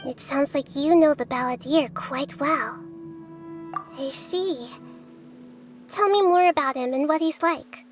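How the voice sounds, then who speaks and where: A woman voicing a gentle, wise young girl deity in a video game, speaking in a calm, measured, earnest and articulate way. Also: sits high, soft background music. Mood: thoughtful, curious.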